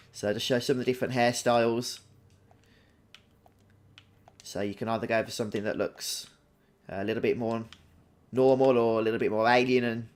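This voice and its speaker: A man hosts a casual Let's Play. His voice sits at a medium pitch. He talks in an unhurried, chatty way, thinking through his choices aloud for the viewers. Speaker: gaming video host.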